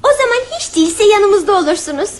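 A sweet, very high young daughter. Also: female voice, lively intonation, brisk. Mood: earnest. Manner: earnest, polite, pleading. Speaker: radio drama actress.

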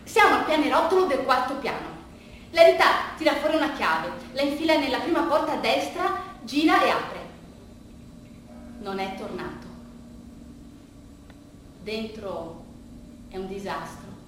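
Female monologue actress, conversational bittersweet storyteller, recorded from a distance in a strongly reverberant room. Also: sits high. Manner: halting, conversational storytelling with dropped, hushed asides. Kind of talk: bittersweet theatrical monologue in a colloquial first person. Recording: very roomy, distant stage recording, faint noise.

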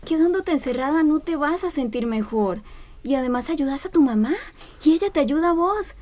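An actress playing a gentle, persuasive young friend in a radio drama, with a Costa Rican accent. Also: female voice, high-pitched, lively intonation, moderate pace, slight background noise. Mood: coaxing, earnest.

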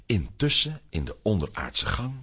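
A man's voice: lowish voice, huge pitch swings, normal speed, fairly bright tone, slight background noise. A man narrating a children's audio play in a scripted storytelling style.

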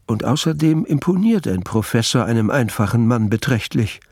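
A man narrating a literary radio play as its storyteller, with a gravelly, husky voice. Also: mid-range voice, lively intonation, normal speed, a little mellow, dry recording, studio quality.

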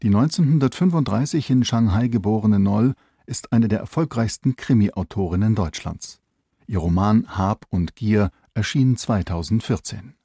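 A man reading a scripted radio announcement as the announcer, in a dark, slightly husky voice. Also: on the low side, moderate pace, dry recording, studio quality. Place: radio studio.

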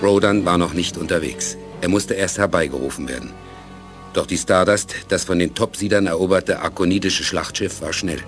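An actor as the sober narrator of a space adventure, telling it in a measured, dramatic way for a 1980s German radio play. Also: male voice, low-pitched, fairly bright tone, soft background music. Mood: sober, suspenseful.

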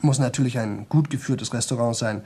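A man's voice: mid-range voice, brisk, mellow, slightly rough, natural voice. An actor playing a young man in a radio drama who talks nonstop for a record, rambling, repeating himself and slipping into singsong. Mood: chatty, self-satisfied. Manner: rambling, repetitive, chatty, drifting into singsong. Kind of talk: 1970 German radio play monologue.

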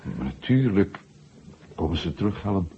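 An actor playing a reassuring, laconic man calming his sister-in-law in a 1970 Dutch radio drama. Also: low-pitched, moderate pace. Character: reassuring, laconic brother-in-law of anxious wife. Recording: dull, hissy 1970 radio recording.

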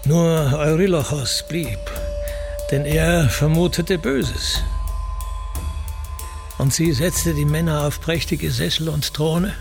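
A gravelly, fairly high male voice as an ancient seafaring hero. He recites classical verse for radio in a solemn, deliberate manner, very slowly and with weighty pauses. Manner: measured, deliberate, declamatory, with pauses. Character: seafaring ancient Greek hero recounting his wanderings. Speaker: actor reciting as narrator.

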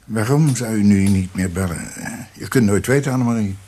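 Casting: actor as elderly ex-judge, stubborn and brooding, very low husky voice, radio play. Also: male voice, a little fast, dark tone, natural voice. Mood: brooding, resolute. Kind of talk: radio drama acting.

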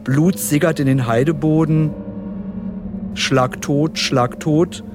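An actor playing an intense narrator in an audio drama, declaiming grimly and repeating phrases insistently. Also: male voice, fairly high pitch, fairly even intonation, takes it slowly, music in the background. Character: intense male narrator, chanting and repeating grim war phrases.

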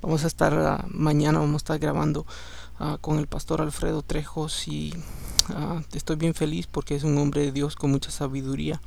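A man's voice: a little high, completely flat delivery, moderate pace, creaky, a little mellow, slight background noise. A man whose first language is Spanish, tired late at night, reading scripture aloud as podcast host with conversational asides and English words slipped in. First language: Spanish, English second. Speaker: podcast host and Bible reader.

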